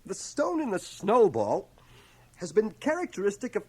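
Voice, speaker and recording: An earnest older man, swooping pitch. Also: wildly swooping pitch, warm tone, husky, exaggerated voice. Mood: earnest, confronting. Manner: deliberate, literate, earnest. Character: aging bachelor schoolmaster, guilt-ridden, reflective, earnestly moralizing. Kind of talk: radio drama acting. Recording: cassette transfer of 1980 radio drama.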